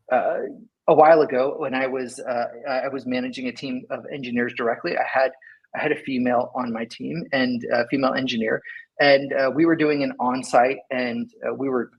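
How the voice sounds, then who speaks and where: A man's voice: medium pitch, husky, low-quality recording. A middle-aged man from California, a guest in an interview, talking loosely and thinking aloud with many "like" and "right?" asides. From Sacramento, California. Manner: rambling, lots of "like" and "right?". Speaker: engineering leader, guest. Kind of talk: casual interview talk.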